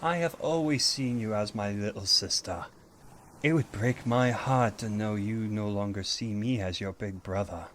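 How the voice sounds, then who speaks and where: A man's voice: lowish voice, normal speed, fairly bright tone, slight background noise, lightly acted. A man playing a grand, protective archangel in a fantasy audio drama, speaking in a formal, theatrical way. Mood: earnest. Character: grand male archangel, commanding, protective elder brother.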